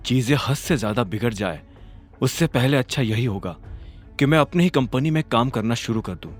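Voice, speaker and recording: A male narrator voicing a calm, determined young hero in a storybook audio drama. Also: mid-range voice, normal speed, faint music behind, lightly acted.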